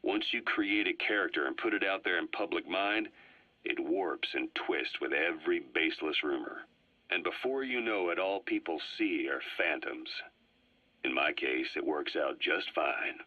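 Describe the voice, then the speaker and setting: An actor playing a sly, composed Soviet spy in a video game, speaking in a low husky voice with measured dramatic pauses on a cassette recording. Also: male voice, expressive, pushed and bright, dry recording, full character voice. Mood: wry, composed. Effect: cassette tape filter. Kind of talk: scripted dramatic game dialogue, recounting history. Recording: dull, boomy cassette tape playback.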